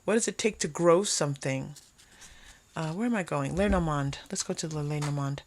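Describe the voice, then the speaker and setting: A woman hosting an unscripted tarot reading, talking conversationally to viewers and often pausing or repeating phrases as thoughts come to her. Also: deep voice, slight background noise. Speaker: tarot reader hosting a pick-a-card reading.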